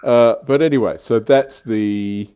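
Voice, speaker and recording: A man's voice: mid-range voice, measured pace, dark tone, smooth. A middle-aged man co-hosting a casual livestream chat, bantering in a tongue-in-cheek way. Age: middle-aged or older. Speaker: livestream co-host. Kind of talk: casual panel chat about tabletop wargaming.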